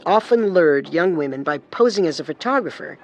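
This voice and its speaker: A woman co-hosting a scripted true crime podcast with dramatic, deliberate delivery. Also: on the low side, expressive, darkish tone, soft background music. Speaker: podcast co-host and narrator.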